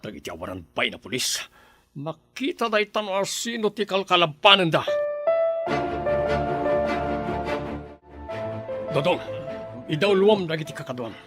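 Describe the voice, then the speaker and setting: A man's voice: on the high side, sing-song, fairly quick, loud music behind the voice. An actor playing a ruthless, defiant male gang boss in an Ilocano radio drama, barking orders and threats.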